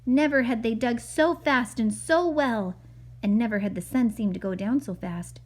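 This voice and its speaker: Mom reading a picture book aloud; highish, husky voice, expressive, pausing to chat and ask questions. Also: female voice. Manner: expressive, asks the child questions. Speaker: mother reading a picture book to her child. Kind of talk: animated storybook reading with chatty asides.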